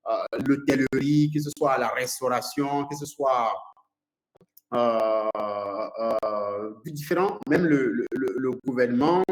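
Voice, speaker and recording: A man from Nigeria, an English speaker by birth, coaches a seminar audience as a consultant. His voice sits in a middle pitch and he talks haltingly, stopping on fillers and repeated words. The recording carries the echo of a reverberant room. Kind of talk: seminar talk to a live audience.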